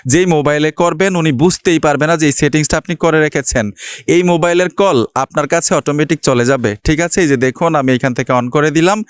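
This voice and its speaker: A Bangladeshi man with a notably high, clean voice presents a step-by-step tech tutorial. He instructs the viewer directly and keeps checking that they are following. The sound is very dry, with no room around it. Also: clear, resonant tone, dry recording. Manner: instructional, repeatedly checks understanding.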